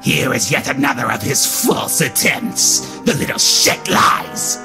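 A man's voice: medium pitch, animated, measured pace, gravelly. A man voicing a gloomy, scornful doughboy figurine in a comic dub, pompous and theatrical in his contempt. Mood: sneering contempt. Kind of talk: dramatic comic-book dub. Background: loud music under the voice.

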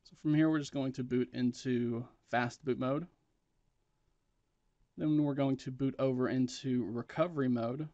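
A man's voice: mid-range voice, fairly even intonation, a little slow, dark tone, no room sound, lo-fi sound. A man presenting a step-by-step tech tutorial in a plain, matter-of-fact instructional way.

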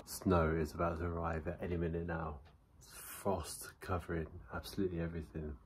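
A man's voice: sits low, level tone, fairly quick, slight background noise. A man giving cheerful daily weather updates outdoors in a city for his travel vlog. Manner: upbeat, chatty weather updates. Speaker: travel vlogger. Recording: background music over outdoor ambience.

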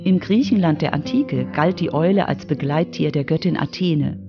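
A woman's voice: low-pitched, lively intonation, moderate pace, highs cut off, music in the background. A woman reading scripted narration as the reporter of a children's radio feature.

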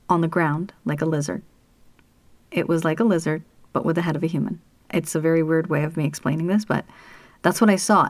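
A woman from Canada giving a slow, earnest talk about spirits as a spiritual teacher, with pauses and the odd whispered word. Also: deep voice, darkish tone, dry recording. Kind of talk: solo spoken talk on spirits.

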